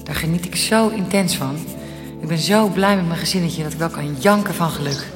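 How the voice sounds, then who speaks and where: A woman's voice: sits low, fairly quick, lightly acted. A woman playing a dying young mother in a radio drama, speaking tenderly as if writing to her child. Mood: tender, grateful. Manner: tender, direct address. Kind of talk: radio drama, intimate letter narration. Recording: long reverb, music behind.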